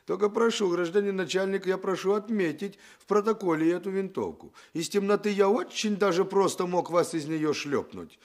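An actor playing a roguish young thief in a radio play, colloquial and wheedling. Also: male voice, sits high, moderate pace, hardly any pauses, close to an everyday voice. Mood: cheeky. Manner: wheedling, colloquial, theatrically expressive. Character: roguish young male Odessa thief, cheeky yet pleading. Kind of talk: Soviet radio play acting.

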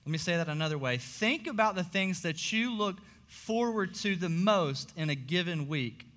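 A young man, a pastor, preaching a sermon in a church auditorium, his voice slightly hoarse, earnest and full of "uh" fillers. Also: high-pitched, animated, normal speed, fairly bright tone. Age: young adult. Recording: long reverb of a large hall.

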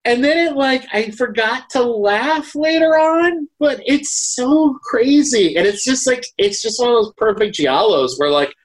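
A woman's voice: on the high side, animated, measured pace, hardly any pauses, poor audio. A woman co-hosting a podcast, giving a casual film review in conversation. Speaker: podcast co-host.

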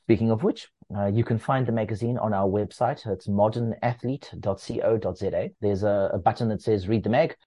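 A man who edits a running magazine, talking as a guest in a relaxed, explanatory way with plenty of fillers. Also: no echo. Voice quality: bass voice. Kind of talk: casual interview talk.

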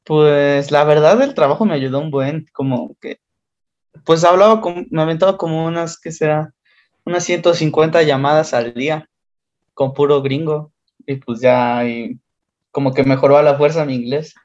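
Young Mexican man, casual banter, slightly high voice, muffled lo-fi sound. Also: moderate pace. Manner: relaxed, slangy, laughs often. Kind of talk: casual chat among friends.

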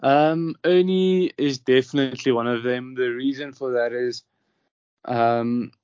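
A young South African man with an Afrikaans background answers questions in a flat, hesitant voice, stopping often to say um and restart. The recording is dry and somewhat dull, with little room sound. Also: medium pitch, unhurried, clean tone, no echo. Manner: hesitant, many ums and restarts. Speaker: professional golfer, interviewee. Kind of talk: casual interview answers.

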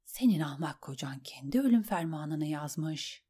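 A haughty queen , voiced by a woman reader, who gives orders briskly and cuts others down with dry scorn. The voice sits a touch lower than the narration and stays firm and controlled. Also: expressive, mellow, husky, heavily acted. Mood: scornful. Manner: imperious, cutting. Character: cold, commanding queen, scornful and sharp.

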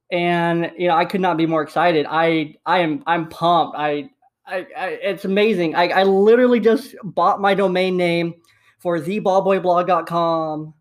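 A man in his twenties with a slight lisp hosting a casual solo podcast at home, upbeat and rambling, repeating himself. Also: high-pitched. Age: 20s. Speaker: podcast host. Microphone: USB desktop microphone.

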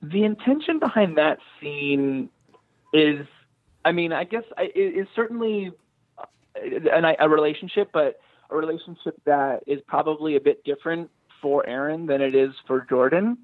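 Guy with a highish voice talking about his writing in an interview, keeps rewording stuff, dry close sound. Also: animated, dry recording, phone-quality sound. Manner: halting, searching for words, rephrasing often. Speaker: screenwriter being interviewed. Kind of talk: thoughtful interview talk about own writing.

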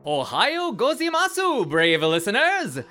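A woman's voice: a little high, wildly swooping pitch, a little slow, sharp tone, soft background music, heavily acted. A woman playing a playful, wry announcer who narrates an adventure radio serial in a showy way.

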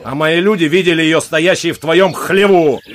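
An actor playing a bold, fair-minded outlaw leader in an audio drama, speaking with deliberate authority. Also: male voice, a little high, crowd noise, close to an everyday voice. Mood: accusing. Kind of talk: Russian audio drama acting.

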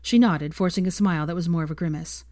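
A woman's voice: lowish voice, quick pace, mellow, no room sound, clean studio sound. A woman reading fiction aloud as a narrator, in scripted storytelling style. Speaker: fan fiction reader, narrator.

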